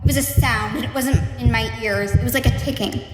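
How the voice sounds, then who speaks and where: A woman's voice: fairly high pitch, a little fast, soft background music, lightly acted. A woman playing an unhinged, guilty murderer who narrates with false politeness and growing paranoia in a horror radio play. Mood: uneasy narrating. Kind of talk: dramatic first-person horror monologue in radio play. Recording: echoey room with long reverb.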